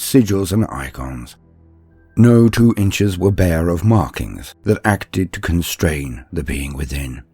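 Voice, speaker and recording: A man narrating a scripted dramatic story in the first person, measured and pausing mid-sentence. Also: sits low, a little mellow, slightly rough, dry recording.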